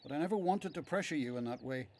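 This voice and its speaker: A man acting in a radio Bible play as a gentle, frank and devout elderly father, measured and reassuring. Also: a little high, expressive, slight background noise, natural voice. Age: older.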